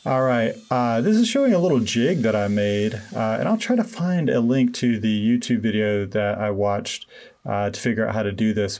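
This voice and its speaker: A man in his thirties giving a relaxed, self-deprecating voice-over walkthrough of a home building project, with many fillers. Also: mid-range voice. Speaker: DIY renovation vlogger.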